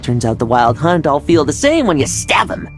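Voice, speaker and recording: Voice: medium pitch, very animated, moderate pace, soft background music. Cocky young fighter. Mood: breezy. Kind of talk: video game voice acting.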